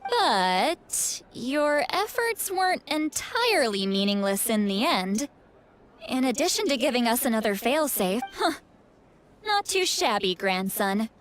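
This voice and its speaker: A woman's voice: a little high, very animated, unhurried, a little hiss or hum. A woman playing a bossy, blunt, teasing leader and tough granny with hidden fondness in a video game. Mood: gruffly fond. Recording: music playing behind the voice.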